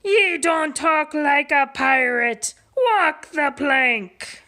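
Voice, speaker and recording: An impatient pirate captain from a picture book barks out pirate slang and rhyming chants, as a woman puts him on. The voice sits a bit high and bright, and the delivery is slow and emphatic. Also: gravelly, exaggerated voice. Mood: blustering. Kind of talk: storybook read-aloud.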